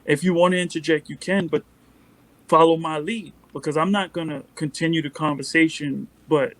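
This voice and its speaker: Male podcast guest, mellow voice, calm, measured, slightly higher pitch. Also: rough recording. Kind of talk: casual podcast chat.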